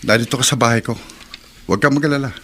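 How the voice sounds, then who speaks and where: A man's voice: mid-range voice, fast, fairly bright tone, slight background noise, natural voice. A Filipino man acting in a horror radio drama as a practical, reassuring fisherman who owns a restaurant. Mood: concerned.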